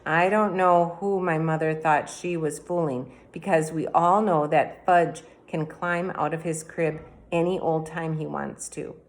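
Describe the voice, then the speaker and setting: A woman reading a children's book aloud in a plain, even storytelling manner. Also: sits low, a little slow, hardly any pauses. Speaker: reader.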